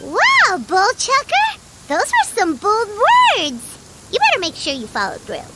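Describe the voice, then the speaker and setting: Childlike fairy sidekick, piercingly high, lively swoops, chirpy, bossy, video game cartoon style. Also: female voice, slow pace, very noisy, full character voice. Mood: teasing. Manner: squeaky, chirpy, scolding, speaks of self in third person. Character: tiny floating fairy companion, childlike, cheeky and bossy. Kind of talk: animated video game voice acting.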